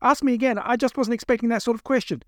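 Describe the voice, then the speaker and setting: A man voicing a high, frightened male captive. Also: fairly even intonation, fast, a bit bright, no echo, studio quality. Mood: anxious, urgent. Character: frightened captive male traveller, pleading and defensive. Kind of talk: dramatised audiobook dialogue.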